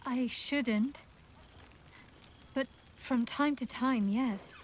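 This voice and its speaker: A woman playing a devout, commanding young dark priestess in a video game, speaking in a measured, formal, wry and intimate way. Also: sits high, slight background noise. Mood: sober, reflective. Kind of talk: video game character dialogue. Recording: game dialogue over background music. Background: music.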